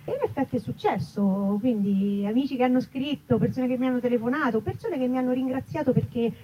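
A woman's voice: on the high side, fast talker. A woman speaking conversationally and reflectively in an interview, building her thoughts in short phrases. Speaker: writer and actress, interviewee. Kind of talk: casual spoken interview before an audience. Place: live festival talk in an olive grove. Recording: muffled, noisy live event recording.

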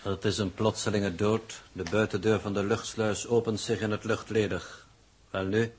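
A man's voice: fairly low pitch, flat delivery, normal speed, a little hiss or hum, natural voice. A man playing a cold, precise, insistent coordinator in a 1960s Dutch radio drama, formal and detached, with a Netherlands accent.

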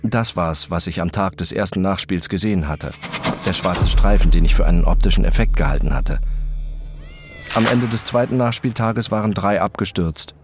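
A man playing a detached, obsessive first-person narrator in a radio drama, speaking in a measured, introspective way. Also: low-pitched, noisy background, close to an everyday voice. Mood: detached, absorbed. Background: music behind the voice.

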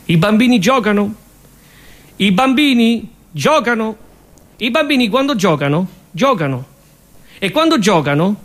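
A man with a Roman accent performing a comic theatre monologue, chanting repetitive wordplay in loops and swinging between hushed asides and sudden shouts. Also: high voice. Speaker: stage actor.

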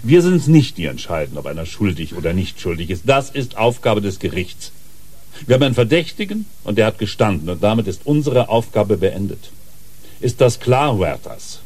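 An officious, condescending police boss in a radio crime drama. The voice is low and husky, with animated, lecturing swings. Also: male voice, normal speed. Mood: irritated, stern. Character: officious, by-the-book male police superior.

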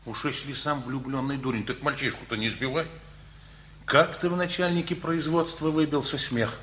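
Man as a gruff scolding uncle, sharp forceful voice. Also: mid-range voice, fairly even intonation, moderate pace, slight background noise, natural voice. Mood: brusque, scornful. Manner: blunt, mocking, colloquial. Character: gruff, bossy middle-aged uncle, scolding and sarcastic. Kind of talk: Russian radio comedy play acting.

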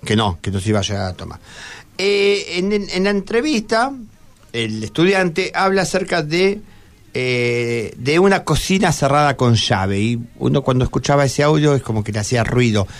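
A man's voice: mid-range voice, animated, moderate pace. A man with a Buenos Aires Argentine accent hosting a conversational radio interview, repeating answers back as he questions. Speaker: radio host. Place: radio studio.

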